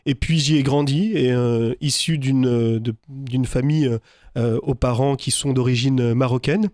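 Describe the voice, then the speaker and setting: A man's voice: mid-range voice, steady tone, moderate pace, darkish tone, no echo. A man from Lorraine, a business lawyer, answering a radio interview in a studio, speaking with many hesitations and fillers. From Lorraine, France. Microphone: studio microphone.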